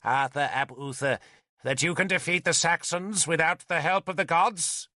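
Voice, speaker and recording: Man as an old druid, ruthless, persuasive, imperious, raised pitch, sharp forceful tone, audiobook drama. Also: moderate pace, no echo, studio quality. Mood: patient menace.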